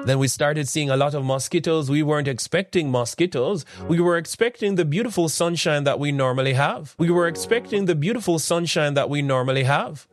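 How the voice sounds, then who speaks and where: A slightly high-voiced man delivers a morning devotional sermon as instrumental music plays clearly behind him. He asks rhetorical questions and answers them himself. He repeats phrases and stacks example after example to drive each point home. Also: a little fast, hardly any pauses. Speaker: preacher.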